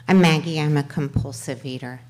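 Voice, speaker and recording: A middle-aged woman with a loud voice sharing her story on a microphone at a twelve-step meeting, halting, with many fillers and restarts. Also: deep voice, steady tone. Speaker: panel speaker at a twelve-step workshop. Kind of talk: personal sharing at a recovery meeting. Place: meeting room. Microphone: handheld microphone.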